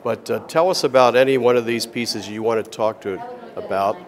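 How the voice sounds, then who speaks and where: A man's voice: mid-range voice, brisk. An older man interviewing a guest in a casual, folksy and complimentary way.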